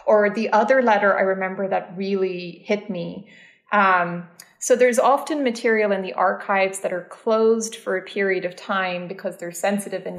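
A woman in a casual conversation, telling animated stories about her work as a dance archive curator. Her voice sits in a middle pitch, and the recording carries a roomy echo. She laughs easily and often restarts her sentences as she talks.

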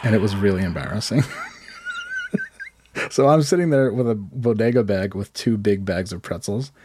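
A man with a hoarse voice, telling rambling self-deprecating stories in casual chat. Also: fairly low pitch, moderate pace, noisy background. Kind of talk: casual comedic storytelling.

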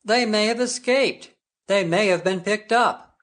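Kindly older man, unhurried and gentle, read by a woman narrator. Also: medium pitch, voice pushed up, natural voice. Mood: pitying. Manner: gentle, pitying. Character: kindly older man, old family friend.

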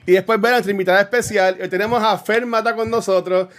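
A man's voice: high voice, fairly even intonation, fast, a bit bright. A man, hosting a casual gaming podcast, playful and teasing, laughing often. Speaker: podcast host.

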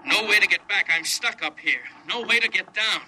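A man's voice: high-pitched, moderate pace, sharp tone, breathy edge, exaggerated voice. An actor playing a stranded male astronaut calling desperately for rescue over a radio link, in 1950s radio drama style. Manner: urgent, broken-off distress phrases. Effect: radio transmission. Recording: noisy crackling old radio transmission.

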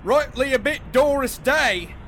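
A man voicing a jolly Cockney mate who exclaims loudly and banters in rhyming slang, with a Cockney accent. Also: very high voice, animated, unhurried, a little hiss or hum, exaggerated voice. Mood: hearty.

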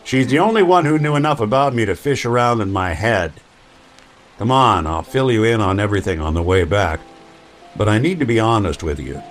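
A man playing a low-voiced world-weary noir detective. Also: lively intonation, a little fast, faint music behind, lightly acted. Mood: grave. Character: weary hard-boiled male synthetic detective, wry, brooding. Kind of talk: old-time radio drama acting.

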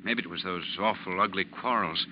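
An actor playing a wounded, weary estranged husband in old-time radio melodrama, reflective and subdued. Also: male voice, low-pitched, fairly quick, lightly acted. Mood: weary regret. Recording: muffled, noisy old radio recording.

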